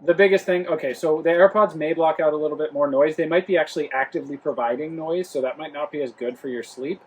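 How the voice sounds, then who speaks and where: A man's voice: a little high, fast, husky, low-quality recording. A young man from Rhode Island, hosting a casual home product review vlog, talking loosely with lots of fillers and restarts. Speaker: travel vlog host. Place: home, living room near the kitchen.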